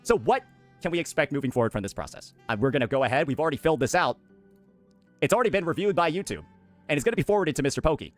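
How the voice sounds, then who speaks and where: A man hosting a commentary video and explaining a legal process in a measured way. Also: a little high, lively intonation, faint music behind. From United States. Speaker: commentary channel host.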